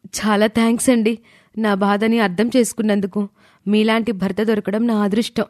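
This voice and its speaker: A woman playing a caring, gentle married daughter in a dramatised moral story. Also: mid-range voice, little pitch movement, moderate pace, dry recording, natural voice. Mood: grateful.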